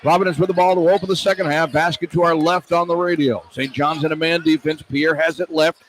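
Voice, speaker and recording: Male radio play-by-play announcer, fairly high voice, live basketball call, clear arena noise behind. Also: fairly even intonation, fairly quick.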